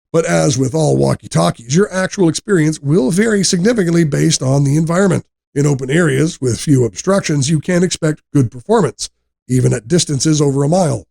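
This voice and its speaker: A man reading a scripted product review narration in a measured, explanatory way. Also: a little high, creaky, darkish tone.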